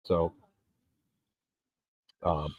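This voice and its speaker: A man's voice: very slow, no room sound, muffled recording. A man in his forties talking casually in an emphatic, rambling monologue, recorded outdoors. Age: 40s. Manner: rambling, emphatic, frequent restarts. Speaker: vlogger and gym owner. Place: outdoors on a beach.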